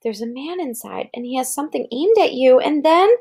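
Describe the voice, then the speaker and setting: Female, higher-pitched voice, read-aloud narration for a young listener, explanatory delivery with casual asides. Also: lively intonation, gravelly, darkish tone, breathy edge. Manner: explanatory, pausing to gloss words and point out pictures. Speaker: reader presenting an article aloud to a child.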